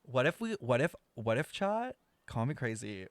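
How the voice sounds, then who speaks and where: A man's voice: mid-range voice, big pitch swings, normal speed, dry recording. A man giving casual live reactions while streaming a game, blunt, sarcastic and exclamatory, with some swearing. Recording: faint game music behind. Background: video game music.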